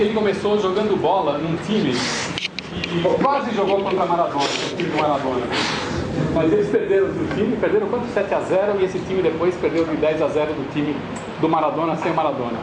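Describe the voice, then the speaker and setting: A man with a slight Argentine accent chairing a colloquium session, introducing the speaker in a joking, chatty manner. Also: on the high side. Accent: Argentine Spanish. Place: lecture hall. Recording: echoey noisy lecture hall.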